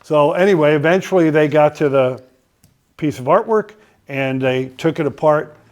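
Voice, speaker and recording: A man's voice: on the high side, moderate pace. An older man from Connecticut, a podcast guest telling a long story, with many filler sounds and restarts. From Connecticut, USA.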